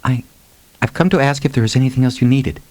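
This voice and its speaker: A man's voice: mid-range voice, fast talker, a little mellow, dry recording, voice pushed up, natural voice. A male audiobook reader voicing a shy, eager young woman who talks hesitantly and a little hurriedly. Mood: shy, nervous. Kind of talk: audiobook character dialogue.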